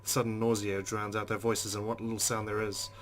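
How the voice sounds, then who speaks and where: A man's voice: on the low side, level tone, a little fast, slight background noise. A man hosting a let's play, narrating a visual novel aloud and adding his own asides.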